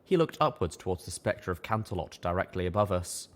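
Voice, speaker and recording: A man narrating a first-person fantasy audiobook in a steady storytelling manner. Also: sits low, a little fast.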